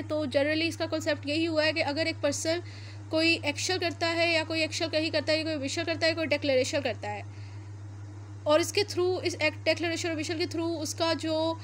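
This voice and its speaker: A woman with a Pakistani Urdu accent giving an explanatory law lecture, reading English statute text slowly word by word with frequent "uh" fillers. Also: high-pitched, fairly even intonation, slight background noise.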